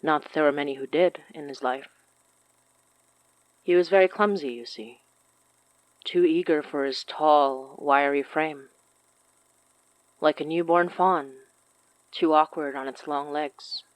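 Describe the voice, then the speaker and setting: A woman's voice: low-pitched, measured pace, pauses often, no echo, rough recording. A woman hosting a fiction podcast, reading announcements and scripted story narration.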